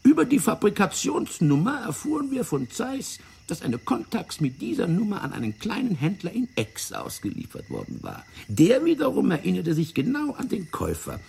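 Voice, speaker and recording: An actor in a German radio play playing a fat, wily, self-righteous French intelligence officer, explaining things in a theatrical, condescending way. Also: male voice, high voice, wildly swooping pitch, normal speed, creaky, few pauses, a little mellow, breathy edge, exaggerated voice. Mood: calculating, insistent. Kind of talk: German radio drama acting.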